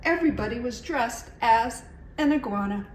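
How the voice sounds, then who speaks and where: A woman's voice: on the high side, lively intonation, normal speed, recorded from a distance. A middle-aged woman reading a children's story aloud expressively, as a storyteller. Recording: echoey room, faint background noise.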